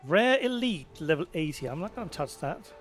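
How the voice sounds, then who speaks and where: A man records a let's play with casual, off-the-cuff commentary. His voice sits a little high for a man, with light game music behind him. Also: sing-song, moderate pace.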